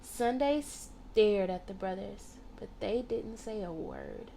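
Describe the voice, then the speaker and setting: A woman reading a children's story aloud in an expressive, theatrical way. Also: medium pitch, slow pace, gravelly, slight background noise. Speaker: storybook reader.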